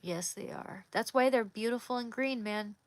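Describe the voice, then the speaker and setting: A woman's voice: a little high, normal speed. A woman from Oregon hosting a casual livestream, chatting easily with viewers and answering their comments by name. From Oregon, USA. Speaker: livestream host. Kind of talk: casual live chat reading comments.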